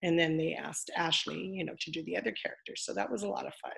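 Deep-voiced older woman, gravelly, chatty. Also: fairly even intonation, fast talker, fairly bright tone. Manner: chatty, rambling, many fillers. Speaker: former wrestler, interview guest. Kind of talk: casual interview.

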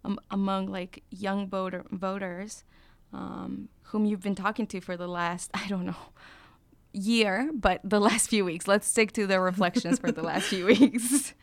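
A woman's voice: medium pitch, fairly even intonation, a little slow. A woman co-hosting a podcast, chatting casually and laughing with her co-host.

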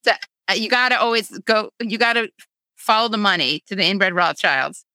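Bright-voiced sarcastic woman host. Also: medium pitch, expressive, no echo. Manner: sarcastic, quick asides while reading chat. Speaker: show host reading viewer questions. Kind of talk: casual online talk show. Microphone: video call.

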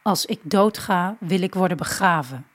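A woman's voice: on the low side, normal speed, lightly acted. A woman playing a devout, insistent elderly mother. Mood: indignant, firm. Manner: insistent, sprinkles pious phrases into speech. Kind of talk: audio drama reading.

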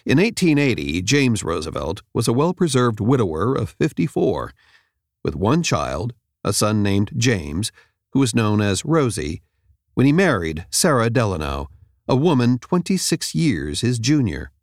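A man reads a nonfiction audiobook in a measured, clearly enunciated way, close to the microphone. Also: fairly low pitch, very animated, no room sound. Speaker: audiobook narrator. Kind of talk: scripted nonfiction audiobook narration. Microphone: close studio microphone.

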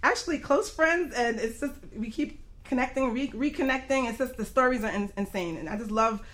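A woman's voice: fairly high pitch, brisk, a bit bright. A woman hosting a casual talk radio show as a coach, chatty, informal and emphatic.

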